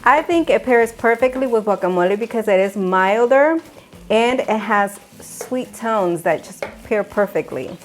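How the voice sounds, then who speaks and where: A woman's voice: medium pitch, expressive, a little slow. Upbeat woman hosting a cooking lesson. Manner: upbeat, instructive, laughs often. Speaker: cooking show host. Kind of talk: cooking demonstration. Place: home kitchen.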